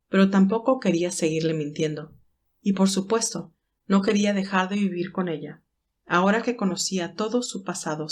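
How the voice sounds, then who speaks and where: A woman reading scripted, dramatic narration of a romantic story as its narrator. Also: on the low side.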